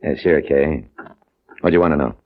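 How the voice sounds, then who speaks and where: A man's voice: very deep voice, fairly quick. An actor playing a cool, skeptical hard-boiled tough guy in a 1950s radio crime drama, wry and clipped. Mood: hard-boiled, impatient. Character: cool skeptical male detective, and hard-boiled gambler crook. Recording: muffled old radio recording.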